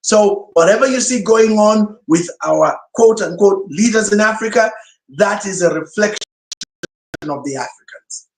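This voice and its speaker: A man's voice: high-pitched, unhurried, slight background noise. A male pastor whose first language is Swahili, giving an emphatic sermon-style teaching talk full of rhetorical questions. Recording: roomy echo.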